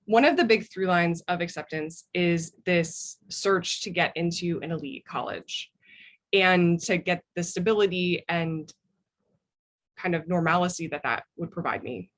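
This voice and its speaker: A woman's voice: on the low side, unhurried. An American woman speaking as an interview guest, telling personal stories in a thoughtful way. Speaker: interview guest, memoir author.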